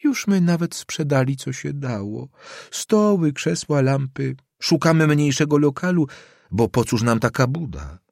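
A man's voice: medium pitch, expressive, unhurried, gravelly, darkish tone, no room sound, studio quality, voice pushed up. A man voicing a worried old woman who runs a boarding house, speaking plaintively. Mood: lamenting. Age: older. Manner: plaintive, lamenting.

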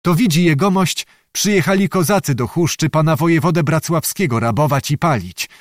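A man voicing a chatty, devoted young servant lad, talking excitedly in rustic old-fashioned speech. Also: on the high side, fairly quick, dry recording, studio quality, pitched above the performer's own voice, close to an everyday voice. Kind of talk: audiobook character voice.

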